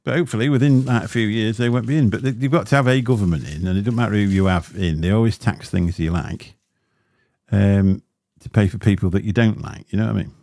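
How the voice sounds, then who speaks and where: A man's voice: fast talker, a little mellow, dry recording. A man, hosting a casual livestream and rambling through chatty asides with lots of fillers. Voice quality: deep voice. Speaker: livestream host. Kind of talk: casual livestream chat while unboxing gear. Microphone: dynamic studio mic close up.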